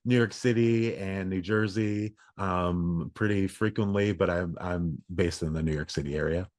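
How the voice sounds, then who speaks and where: A man, a leadership coach, speaking in an earnest, measured way with frequent ums as a podcast guest. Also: low-pitched, steady tone, a little mellow, dry recording, studio quality. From United States. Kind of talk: casual interview talk.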